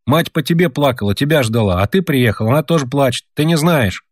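A man's voice: medium pitch, lively intonation, fairly quick, dry recording, studio quality, voice pushed up, close to an everyday voice. A man doing an earnest, indignant peasant boy. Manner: earnest, lecturing, eager storytelling.

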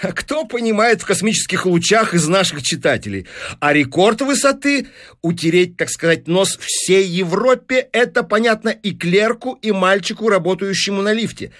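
A man's voice: sits high, lively intonation, moderate pace, fairly bright tone, voice pushed up. A man voicing a pushy, calculating newspaper businessman in an audiobook, with eager salesman's patter. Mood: glib, insistent. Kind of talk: audiobook character dialogue.

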